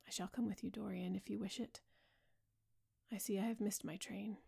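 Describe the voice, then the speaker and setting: A woman reading the part of an older male painter, speaking gravely and earnestly as he pleads with his friend. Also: mid-range voice, fairly even intonation, normal speed, warm tone, full character voice. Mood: weary.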